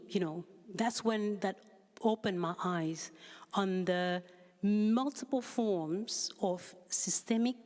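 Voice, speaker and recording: A woman's voice: medium pitch, darkish tone. A woman from Haiti giving an unscripted personal account on a panel, searching for words as she goes, in a reverberant hall. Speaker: panel speaker. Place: large hall at a public forum.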